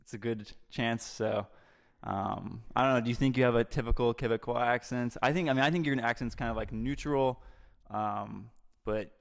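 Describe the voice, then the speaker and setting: A man's voice: medium pitch, moderate pace, vocal fry. A man from Atlanta with a standard American accent, chatting as a podcast guest in a casual, rambling, hedging way. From Atlanta, US Southeast. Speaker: podcast guest, environmental lawyer. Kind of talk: conversational podcast interview.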